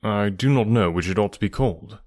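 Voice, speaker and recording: A man voicing a composed, grave gentleman who speaks in a measured, formal way in an audiobook. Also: on the low side, no echo, clean studio sound, natural voice. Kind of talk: audiobook character dialogue.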